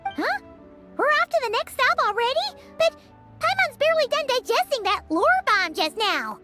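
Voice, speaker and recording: A tiny childlike fairy sidekick from a video game. The voice is extremely high and swoops around with bubbly, eager excitement, with prominent music behind it. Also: female voice, normal speed. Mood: puzzled. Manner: speaks of self in third person, bubbly.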